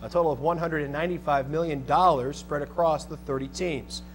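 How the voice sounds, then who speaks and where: An American man working as a TV sports anchor, reading highlights and sports news in punchy, excitable phrases. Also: fairly quick, slight background noise.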